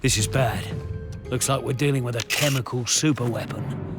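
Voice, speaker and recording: An actor playing a dry, determined Allied army captain in a game, thinking aloud in terse, understated lines with a gravelly voice. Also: male voice, medium pitch, moderate pace, music in the background, close to an everyday voice. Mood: wary, concerned. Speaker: game protagonist.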